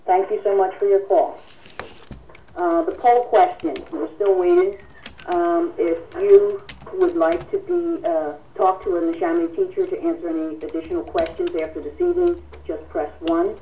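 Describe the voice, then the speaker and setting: A woman with a mellow, fairly low voice leads a telephone town hall. She is polite and formal, pausing often as she answers callers. She sounds some way from the mic on a conference line. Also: lively intonation, slight background noise. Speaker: union president hosting a call-in. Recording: distant radio-like telephone conference audio. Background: telephone conference call.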